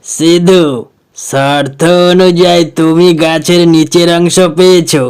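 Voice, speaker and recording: A man voicing a village headman in a cartoon, measured, pondering and authoritative. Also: fairly high pitch, steady tone, darkish tone, smooth. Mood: deliberate. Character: village headman, deliberate and fair-minded elder.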